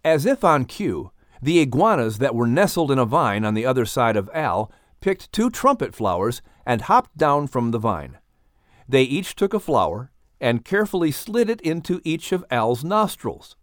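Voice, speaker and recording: A man narrating and announcing in an animated, scripted storytelling style. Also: medium pitch, moderate pace, no echo, studio quality.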